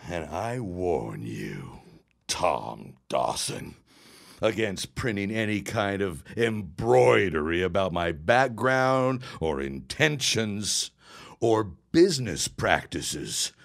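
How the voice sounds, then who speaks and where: A condescending, scheming businessman of 1916 in an audio drama. His very low voice is slow and deliberate, sweeping up and down as he delivers smooth, ornate threats. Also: sing-song, heavily acted. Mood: scornful, threatening. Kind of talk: period audio drama acting.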